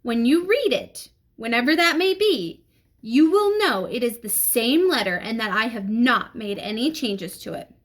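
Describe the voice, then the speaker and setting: A strict schoolteacher played by a woman reading aloud speaks in a formal, measured way. She is a storybook figure who admonishes firmly, with lively rises and falls in her voice. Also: on the high side.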